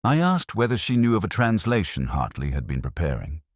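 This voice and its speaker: A man reading a scripted first-person detective story in a measured, reflective, literary manner. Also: on the low side, wildly swooping pitch, dry recording, studio quality. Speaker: narrator.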